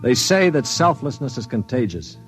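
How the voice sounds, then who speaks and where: An actor playing a cynical spaceship passenger who takes command, giving urgent clipped orders in a 1950s radio drama. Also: male voice, mid-range voice, big pitch swings, normal speed, over music, close to an everyday voice. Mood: wry, resolved. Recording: vintage 1950s radio recording, faint noise.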